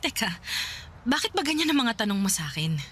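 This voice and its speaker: A woman acting a sharp-tongued young woman who teases and scolds her ex sarcastically in a radio drama. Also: a little high, sing-song, fairly quick, slight background noise. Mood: sarcastic. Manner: sarcastic, teasing.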